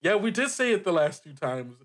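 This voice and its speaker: A man with a high voice hosts a tabletop podcast as game master. His delivery swings widely in pitch, with playful, theatrical banter that often breaks toward laughter. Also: sing-song, a little fast, fairly bright tone. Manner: jokey, theatrical, often laughing through words.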